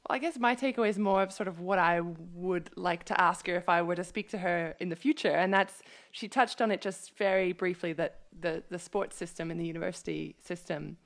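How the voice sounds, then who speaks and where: A woman co-hosting a podcast over a video call, talking in a thoughtful, measured way. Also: lowish voice. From USA. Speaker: podcast co-host. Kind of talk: casual chat. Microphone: video call mic.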